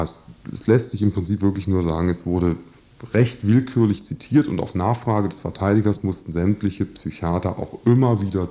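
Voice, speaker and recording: A man giving spontaneous spoken commentary on a court case, halting and deliberate as he searches for exact wording. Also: fairly low pitch, warm tone.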